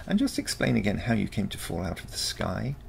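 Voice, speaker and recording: A sceptical grandparent from a storybook, played by a male narrator, questioning everything in disbelief. The voice is heavily creaky and animated in pitch, with clear noise in the recording. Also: brisk, exaggerated voice. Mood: doubtful. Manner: incredulous, questioning. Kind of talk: storybook character dialogue.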